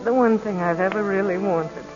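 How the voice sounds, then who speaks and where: A woman's voice: mid-range voice, moderate pace, natural voice. A woman playing a grieving, wistful young wife in 1940s radio drama style. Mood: grieving, listless. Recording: dull old radio recording with music behind.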